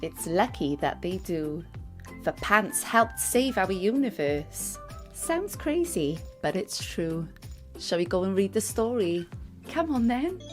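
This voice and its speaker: A woman reading a picture book aloud to young children, expressive and speaking straight to her listeners. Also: mid-range voice, slow pace. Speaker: storyteller and teacher reading to children. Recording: music under the voice. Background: music and sound effects.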